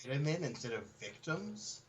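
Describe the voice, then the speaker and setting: A man's voice: fairly high pitch, very animated, normal speed, slightly rough, highs cut off. A man in casual banter, listening to a friend's reading and prompting him. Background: music.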